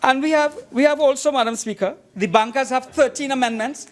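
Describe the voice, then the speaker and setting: A man with a notably high-pitched voice delivers a formal speech in parliament. He is emphatic and deliberate, often repeating a phrase to give it weight, in the measured register of legislative debate. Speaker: member of parliament. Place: parliament chamber.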